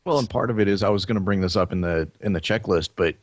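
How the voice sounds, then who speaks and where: A man's voice: low voice, quick pace, no echo. A man co-hosting a podcast, chatting casually as a fan about a television show. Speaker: podcast co-host. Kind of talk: casual TV recap chat.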